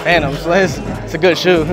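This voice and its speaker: A man's voice: a little high, steady tone, moderate pace. A young man in his twenties hosting a prank vlog, talking laid-back and slangy with plenty of swearing, on a lapel mic inside a convention hall. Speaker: YouTuber host. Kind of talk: casual prank vlog chat. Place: indoor sneaker convention hall. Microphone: clip-on lapel mic. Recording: loud crowd and music behind.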